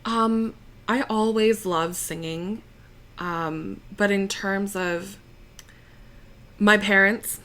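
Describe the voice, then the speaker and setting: Slow, bright-toned young woman chatting. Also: medium pitch. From United States. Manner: relaxed and chatty, many fillers, laughs easily. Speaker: interview guest, opera singer. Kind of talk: casual conversational interview.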